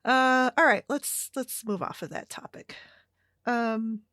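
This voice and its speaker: A middle-aged woman with an American Midwestern accent chatting casually and haltingly as a solo podcast host, meandering through her stories. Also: a little high, fairly bright tone, dry recording, clean studio sound. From Chicago area, United States. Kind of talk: casual solo chit-chat.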